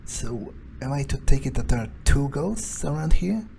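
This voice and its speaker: A man's voice: medium pitch, expressive, normal speed, gravelly, mellow, a little hiss or hum. A man doing casual, foul-mouthed in-character game commentary, muttering his thoughts aloud as he plays. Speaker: gaming streamer, let's player. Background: video game music.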